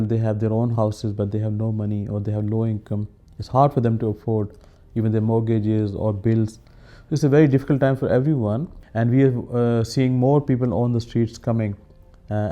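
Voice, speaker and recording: A man who founded a charity, speaking earnestly in a documentary interview, with short filler pauses. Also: fairly low pitch, warm tone. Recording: music bed underneath.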